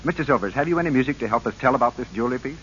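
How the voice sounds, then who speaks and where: A man announcing on 1940s radio, delivering a polished, persuasive scripted sponsor pitch. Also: animated, rapid-fire. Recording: hissy, dull old radio broadcast.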